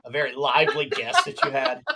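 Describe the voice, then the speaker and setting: A man's voice: a little high, wildly swooping pitch, a little fast, noisy background. A man hosting a casual podcast over a video call, joking and rambling with his guests. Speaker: podcast host. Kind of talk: casual chat on a livestream.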